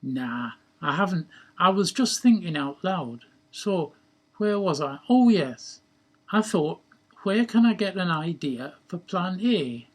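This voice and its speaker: Man reading as a cheeky male schemer, husky voice, slightly high pitch, glib, blunt, storybook character. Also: animated, slow pace, exaggerated voice. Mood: breezy, scheming. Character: cheeky scheming male joker, Gresham, blithely bluffing.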